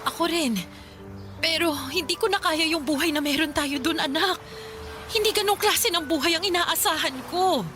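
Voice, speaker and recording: A woman's voice: very high-pitched, normal speed, pushed and bright, faint music behind. A woman playing a weary, unyielding middle-aged mother in a Filipino radio drama, speaking in a firm, resigned way. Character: weary, unyielding mother who left her family. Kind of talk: Tagalog radio drama acting.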